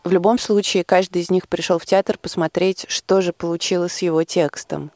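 A woman's voice: on the low side, fairly even intonation, a little fast, darkish tone, no echo. A woman speaking as a radio guest, explaining things in interview talk. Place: dry radio studio.

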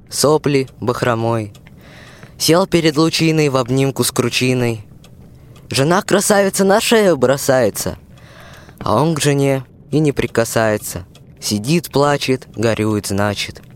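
A man's voice: medium pitch, unhurried, natural voice. A man narrating a fairy tale in folksy rhymed verse for a radio play.

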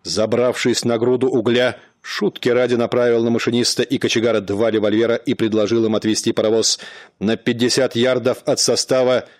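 A man narrating a radio play in a measured, dramatic storytelling manner. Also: medium pitch, level tone, no room sound, clean studio sound.

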